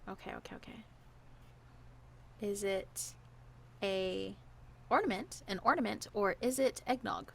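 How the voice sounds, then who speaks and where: A woman's voice: medium pitch, creaky, slight background noise. A woman co-hosting a podcast, playing a word game in casual chat with frequent giggles. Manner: giggly, quick soft answers. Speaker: podcast co-host.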